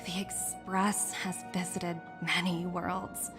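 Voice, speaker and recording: An actress voicing a poised, polite businesswoman debt collector in a video game, articulate and measured. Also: lowish voice, flat delivery. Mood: musing, measured. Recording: background music under the voice.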